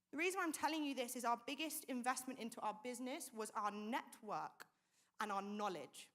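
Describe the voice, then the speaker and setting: A woman's voice: on the high side, a bit bright. A woman hosting a conference panel on stage, rallying the audience with upbeat energy. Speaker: event host and panel moderator.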